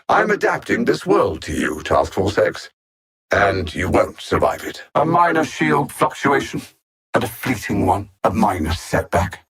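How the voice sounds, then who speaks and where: A man playing a cold, condescending alien machine-intellect villain in a video game, speaking in a haughty, formal, measured way with a gravelly, husky voice. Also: mid-range voice, a bit bright, exaggerated voice. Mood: haughty menace.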